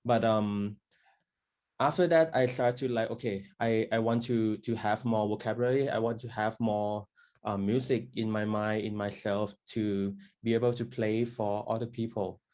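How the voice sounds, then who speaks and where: A man's voice: on the low side, fairly even intonation, normal speed, a little mellow. Hesitant man, many fillers. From Thailand. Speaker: guest violinist.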